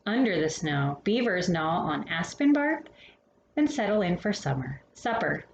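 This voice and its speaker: A woman hosting a storytime, reading a children's picture book expressively with dramatic pauses and casual asides. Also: on the low side, gravelly, lo-fi sound. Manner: animated, dramatic pauses between phrases. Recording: roomy echo with faint background noise.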